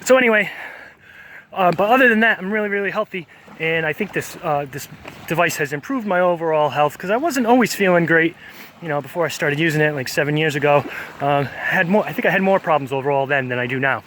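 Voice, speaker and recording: A man's voice: fairly high pitch, fast, a bit bright, a little hiss or hum. A man, vlogging outdoors on a beach in a rambling, hesitant way, full of fillers and self-corrections. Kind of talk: casual walking vlog monologue.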